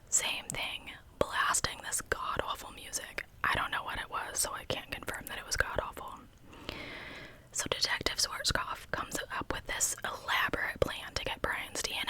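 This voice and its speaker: A woman's voice: pushed and bright, rough recording. A woman telling a story in a whispered ASMR style, informal and conversational with casual asides. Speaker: true crime ASMR host.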